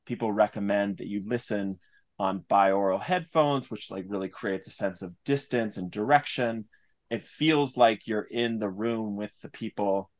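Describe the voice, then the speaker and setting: A man's voice: fairly low pitch, normal speed. A man speaking as an interview guest, explaining his creative thinking in an earnest, discursive, analytical way. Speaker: interview guest, audio drama writer. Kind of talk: reflective interview talk about craft.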